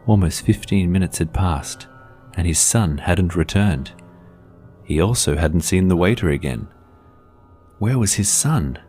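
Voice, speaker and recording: A man narrates a scripted horror story in a measured, deliberate, dramatic storytelling manner. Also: low-pitched, animated, a little mellow, soft background music.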